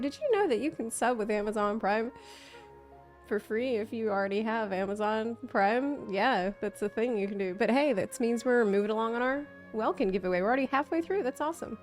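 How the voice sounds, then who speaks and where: A woman live-streaming a game, chatting casually and reacting to what happens. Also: fairly high pitch. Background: faint game music.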